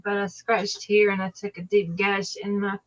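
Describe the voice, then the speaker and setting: A woman in her forties chats on a live stream with an ordinary mid-pitched voice. She is easygoing and shrugs things off in a friendly, relaxed way. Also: normal speed, lo-fi sound. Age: 40s. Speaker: guest. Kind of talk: casual chat.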